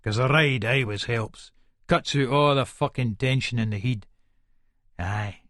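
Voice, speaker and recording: A male actor plays a volatile Edinburgh hard man telling his own story in a dramatised audiobook. His broad Scottish speech is aggressive, colloquial and thick with swearing, sitting at a middling pitch. The sound is close and dry. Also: a little slow, a bit bright, dry recording, close to an everyday voice. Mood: irritable, wary. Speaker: audiobook narrator in character. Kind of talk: first-person dramatised audiobook monologue.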